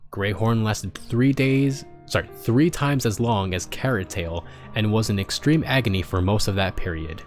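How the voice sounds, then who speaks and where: A man's voice: fairly low pitch, lightly acted. A man reading as a pony doctor dictating grim research notes in a formal, clinical manner, for a storybook.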